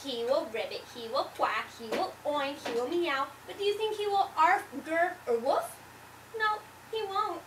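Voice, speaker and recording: An exasperated storybook mother dog acted out by a woman, extremely high in pitch, wails and pleads with great drama. The voice sits far from the mic in an echoey room. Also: normal speed, a bit bright. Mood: frantic, complaining. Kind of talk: children's storybook read-aloud. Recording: roomy, distant mic, faint background noise.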